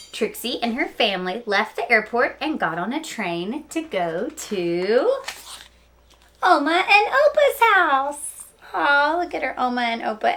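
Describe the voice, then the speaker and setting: A woman reading a picture book aloud to young listeners, expressive and speaking to them directly with small asides. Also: high-pitched. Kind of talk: children's read-aloud storytelling with asides.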